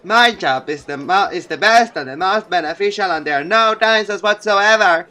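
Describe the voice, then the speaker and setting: A man's voice: sits high, normal speed, pushed and bright. A man voicing an earnest, idealistic woman teacher in a visual novel, preachy in manner, with music behind.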